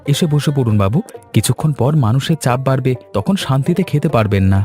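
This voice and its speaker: Cartoon folk tale: plucky young eatery son male actor, mellow mid voice, polite but firm, music behind. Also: medium pitch, animated, fairly quick, close to an everyday voice. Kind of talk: animated folk tale voice acting.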